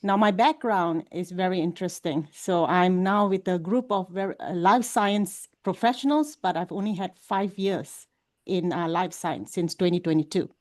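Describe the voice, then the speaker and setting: A woman's voice: on the low side, moderate pace, a little mellow. A woman introducing herself as a panelist in a business panel discussion, fluent with occasional fillers.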